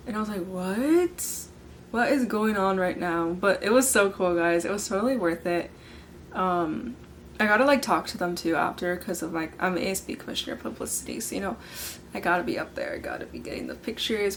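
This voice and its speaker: A young woman in her late teens hosting a casual podcast, hoarse from yelling, chatting in a bubbly way and filling nearly every sentence with "like". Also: medium pitch, a little hiss or hum. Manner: bubbly, filler-heavy, says "like" constantly. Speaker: podcast host. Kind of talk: casual chat.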